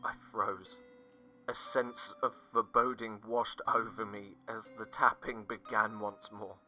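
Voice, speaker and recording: Bright-voiced man narrating horror. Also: fairly low pitch, flat delivery, measured pace. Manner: dramatic, dropping to hushed tones at tense moments. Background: faint music.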